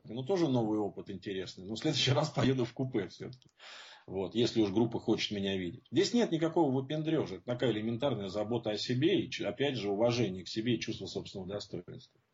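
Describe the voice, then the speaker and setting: Husky man explaining his view informally. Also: mid-range voice, darkish tone. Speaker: guest speaker at support group seminars. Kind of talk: informal spoken answer to a question. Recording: dull lo-fi audio.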